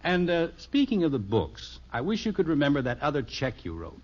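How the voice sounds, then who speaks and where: A man's voice: a little high, huge pitch swings, a little fast, a little mellow. An American actor playing a genial middle-aged father in a 1950s radio sitcom, patiently explaining things with mock formality. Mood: easygoing. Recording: muffled 1950s radio recording.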